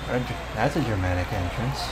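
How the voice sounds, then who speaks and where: A man playing a bossy teenage school bully with a hidden soft side, in an ASMR roleplay, brusque and given to muttering. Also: lowish voice, a little fast, close to an everyday voice. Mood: flustered, amazed. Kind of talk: ASMR boyfriend roleplay acting. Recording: clear background ambience noise.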